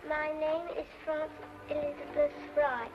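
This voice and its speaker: Woman acting a high, plaintive little girl. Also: little pitch movement, moderate pace, close to an everyday voice. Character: reluctant, obedient little girl of about seven. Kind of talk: old-time radio drama acting. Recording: dull old radio recording with music.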